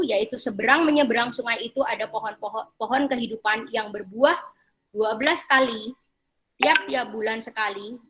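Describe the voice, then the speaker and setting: Lady pastor giving a sermon, high bright forceful voice, keeps repeating phrases. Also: low-quality recording. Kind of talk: sermon with slides and scripture reading.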